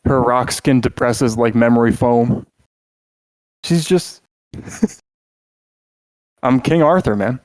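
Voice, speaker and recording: A man's voice: mid-range voice, takes it slowly, long pauses, a little mellow, dry recording, low-quality recording. Rambling, joking podcast co-host, a man. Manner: rambling, joking, full of "like" and restarts. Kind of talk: casual chat.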